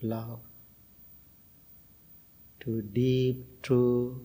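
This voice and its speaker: Slow, gentle monk from Sri Lanka. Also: male voice, mid-range voice, little pitch movement, long reverb tail. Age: middle-aged. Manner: slow, gentle, with frequent pauses and fillers. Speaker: Buddhist monk and meditation teacher. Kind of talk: guided meditation and dharma talk.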